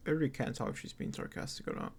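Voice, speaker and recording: A woman's voice: rapid-fire, slight background noise. A woman reacting casually to a video game in a laid-back, slangy way, as a streamer does.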